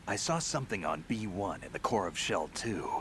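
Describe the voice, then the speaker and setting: An actor playing a calm, capable veteran soldier in a video game, matter-of-fact and assured. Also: male voice, low voice, moderate pace. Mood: steady, businesslike. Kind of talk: video game cutscene acting. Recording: noisy vehicle ambience under voice.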